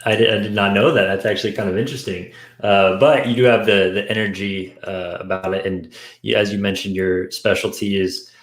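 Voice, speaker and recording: A man's voice: fairly low pitch, steady tone, fairly quick, few pauses, a bit bright. A man interviewing a guest in casual podcast chat, recorded with an echoey room sound.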